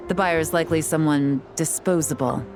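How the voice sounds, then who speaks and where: An actress playing a cool, shrewd and composed operative in a video game, speaking with calm assurance. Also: female voice, sits low, a little fast, over music, natural voice. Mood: cool, calculating. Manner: calm, assured, smooth-talking.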